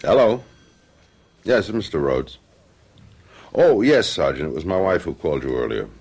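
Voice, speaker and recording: Radio play husband: low voice, protective, careful, troubled but steadying. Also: male voice, sing-song, normal speed, darkish tone, slightly rough, exaggerated voice. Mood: concerned. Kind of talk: old-fashioned radio drama acting.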